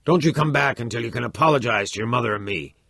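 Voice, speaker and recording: A man voicing a blustering, heavy-set middle-aged father who shouts roughly. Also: fairly low pitch, animated, normal speed, no echo, studio quality, pitched above the performer's own voice, heavily acted. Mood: angry. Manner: loud, rough and bullying.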